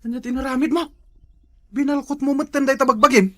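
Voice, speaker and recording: A man's voice: very high-pitched, steady tone, fairly quick, sharp tone. A man acting as a nagging, teasing husband in a comedy skit. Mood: bossy. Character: nagging, teasing husband working at planting crops. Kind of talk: comedy skit dialogue in Ilocano.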